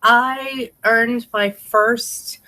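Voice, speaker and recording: A woman's voice: a little high, fairly even intonation, very slow, pushed and bright. A woman with a slightly congested voice chatting casually and enthusiastically, rambling along as she hosts a team training video. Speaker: independent sales consultant hosting a team training video.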